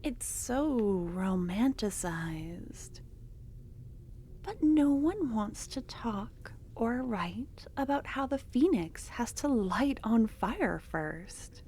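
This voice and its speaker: A young woman voicing an obsessive, possessive female cultist in an ASMR roleplay. She talks in a soft, close murmur that drops into whispers, and her tone is doting and unsettling. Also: a little high, expressive, extremely slow, slight background noise, exaggerated voice. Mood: curious, doting.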